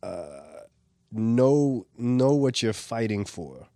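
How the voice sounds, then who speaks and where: A middle-aged man from San Francisco, talking as a guest in a relaxed interview, loose and profane with lots of "like" and "you know.". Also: medium pitch, drawn-out words, no room sound, studio quality. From San Francisco, USA. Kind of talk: casual interview chat.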